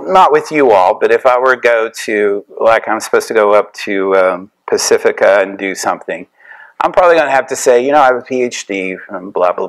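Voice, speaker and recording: A middle-aged man from Tennessee giving a conversational, animated lecture to a class from a podium in an indoor lecture room. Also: medium pitch, rough recording. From Tennessee, USA.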